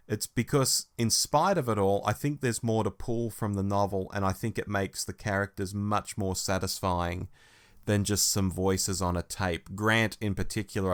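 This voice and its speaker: A man with a fairly low-pitched voice co-hosts a review podcast. He speaks in a casual, conversational way as he discusses and gives his opinions. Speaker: podcast host.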